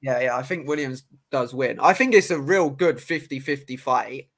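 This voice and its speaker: A man's voice: medium pitch, moderate pace, fairly bright tone. A young man, a boxer hosting a podcast, chatting casually with lots of fillers and restarts. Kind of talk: casual chat.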